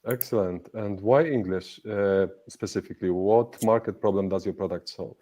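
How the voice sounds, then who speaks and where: A man hosting an interview over a video call, agreeable and halting, with many fillers. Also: fairly low pitch, mellow. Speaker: interview host. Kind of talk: conversational interview.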